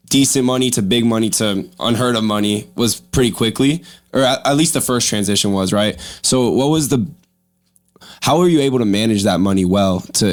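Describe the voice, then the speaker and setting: A young man interviews a guest as a podcast host. His voice is fairly low. He asks his questions in a casual, chatty style.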